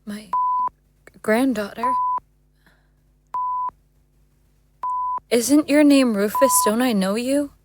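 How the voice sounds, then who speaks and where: A woman's voice: a little high, unhurried, very noisy, natural voice. A woman playing a troubled, easily bewildered woman in an audio drama.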